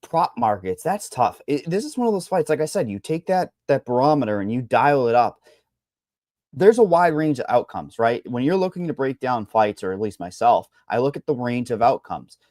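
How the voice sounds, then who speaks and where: A man's voice: medium pitch, sing-song, moderate pace, darkish tone, no room sound, studio quality. Boston-accented man talking fight bets. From Boston, Massachusetts. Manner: rambling, hedging, salty asides. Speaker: MMA betting analyst. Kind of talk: casual betting chat.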